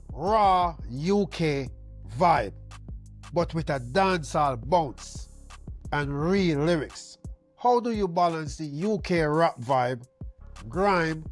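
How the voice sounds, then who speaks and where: A man hosting a podcast, asking questions and hyping his guest with emphatic patois. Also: a little high. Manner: hyped, emphatic patois hype-man delivery. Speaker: podcast host. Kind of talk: podcast interview.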